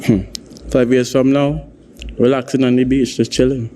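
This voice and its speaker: A man's voice: medium pitch, little pitch movement, normal speed, warm tone, a little hiss or hum. A man in his thirties with a Kittitian accent on a dating show, laid-back and a bit defensive. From Saint Kitts and Nevis. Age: 30s. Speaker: dating show contestant, musician. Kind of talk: unscripted dating show chat.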